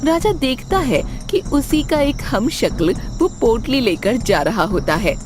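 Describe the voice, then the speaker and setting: Woman storyteller, highish voice, expressive, music underneath. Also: unhurried, background noise. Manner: clear, storybook telling. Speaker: narrator. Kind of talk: scripted storytelling narration. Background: background music.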